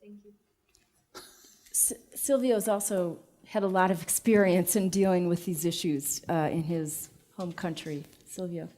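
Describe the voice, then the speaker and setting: A woman's voice: on the low side, steady tone, unhurried, mellow. A woman moderating a dialogue, polite and formal in how she introduces speakers. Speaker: program host.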